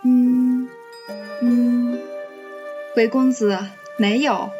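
A woman's voice: fairly high pitch, fairly even intonation, very slow, dark tone, lo-fi sound. A woman playing a polite young maidservant in a period audio drama, speaking deferentially. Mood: hesitant. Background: faint music.

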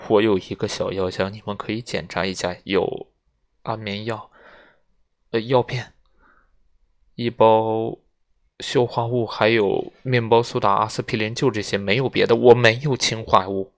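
A man's voice: fairly low pitch, steady tone, unhurried. A man voicing a nervous middle-aged doctor, clinical at times and hesitant and defensive when under suspicion, in an audiobook.

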